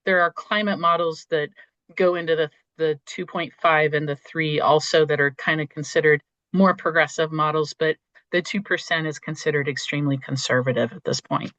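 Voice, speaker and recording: Croaky host with a cold. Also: mid-range voice, steady tone, fairly bright tone, dry recording, dull audio. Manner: enthusiastic, halting with many fillers. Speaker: meeting host from a state forestry agency. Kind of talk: online meeting remarks.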